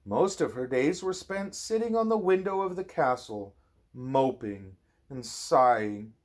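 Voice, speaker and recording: A man reading a storybook aloud to a child as a parent, expressively and with drawn-out phrases. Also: medium pitch, expressive.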